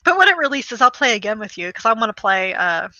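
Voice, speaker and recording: A woman chatting casually about video games, telling stories in an animated way with acted-out quotes and the odd swear word. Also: a little high, fast, highs cut off. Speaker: podcast host.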